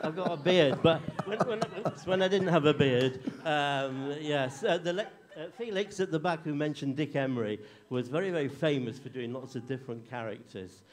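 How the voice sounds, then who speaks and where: A man's voice: a little high, moderate pace, hardly any pauses, crowd noise. A man performing as a contestant on a live comedy show, rambling and restarting his sentences. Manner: rambling, hesitant, many restarts. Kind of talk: live stage banter. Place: comedy club stage, small live audience.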